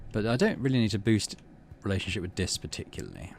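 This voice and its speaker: Male gaming host, hugely varied intonation, casual musing delivery, reading and commenting, clear background noise. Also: fairly low pitch, very animated, fast talker. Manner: musing, thinking aloud. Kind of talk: casual game commentary and narrated text reading.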